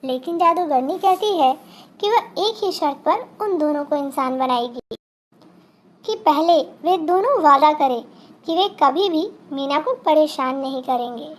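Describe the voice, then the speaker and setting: A woman's voice: high-pitched, expressive, unhurried. A woman reading a scripted fairy tale for children as a storyteller.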